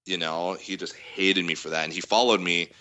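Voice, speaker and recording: A man with a loud voice, a guest musician in a casual interview, telling a long story with lots of fillers. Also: deep voice, steady tone, normal speed, highs cut off. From Denver area. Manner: rambling storytelling, heavy fillers.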